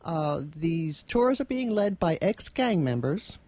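A woman's voice: on the low side, sing-song, slow, mellow, no room sound. A woman co-hosting a casual radio chat, talking in an amused way from a station studio. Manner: amused, chuckling as she talks. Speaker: radio co-host.

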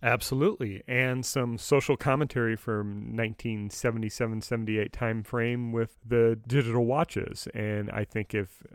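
A man co-hosting a casual discussion, speaking in a measured and agreeable way. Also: medium pitch, fairly even intonation, dry recording, studio quality. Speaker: podcast co-host. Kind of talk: casual discussion of a radio drama.

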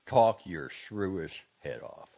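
A man's voice: extremely low pitch, big pitch swings, unhurried, slightly rough, dull audio, full character voice. A man voicing a pompous old doctor in a storybook, haughty, pedantic and easily indignant.

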